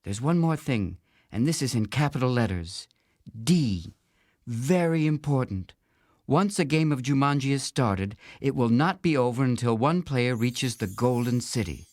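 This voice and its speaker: A man voicing an eager, bossy young girl in a storybook, bright and insistent in manner. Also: mid-range voice, lively intonation, unhurried, no room sound, studio quality, voice pushed up, natural voice. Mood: bossy, instructive.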